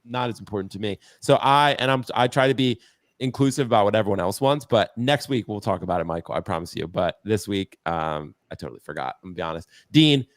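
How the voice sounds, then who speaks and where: A man hosts a laid-back hobby podcast, his voice worn and strained. He talks loosely, swears now and then and keeps turning to his co-host. The pitch sits low for a man, recorded close and dry. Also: expressive, quick pace, dry recording. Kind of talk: casual podcast chat.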